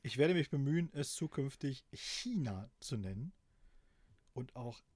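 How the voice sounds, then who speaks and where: A middle-aged man from East Germany co-hosting a podcast, reading aloud in a dry, deadpan way and chatting casually. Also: medium pitch, unhurried, husky, dry recording. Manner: dry deadpan delivery, laughing at his own reading. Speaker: podcast co-host.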